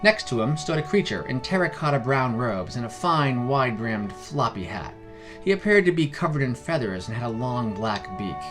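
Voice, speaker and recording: A male narrator reads a scripted fantasy adventure. His pitch is medium and moves about in a lively way. Music plays behind his voice. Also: normal speed.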